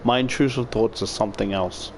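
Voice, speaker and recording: A man's voice: fairly low pitch, fairly even intonation, brisk, background noise. A man reading a visual novel aloud as a gaming YouTuber, with casual, profane commentary and exclamations.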